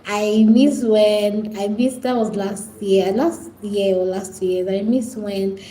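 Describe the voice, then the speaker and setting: A woman's voice: medium pitch, fairly even intonation, slow, dark tone, echoey room, slight background noise, poor audio. A Nigerian woman hosting a casual live stream, pleading and repetitive as she talks straight to her viewers.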